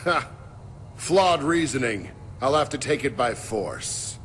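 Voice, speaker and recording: A man's voice: medium pitch, lively intonation, slow, a bit bright, slight background noise. A man playing a cold, calculating computer program villain in a video game, clipped, formal and menacing. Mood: scornful, menacing. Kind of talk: video game cutscene voice acting. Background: game music.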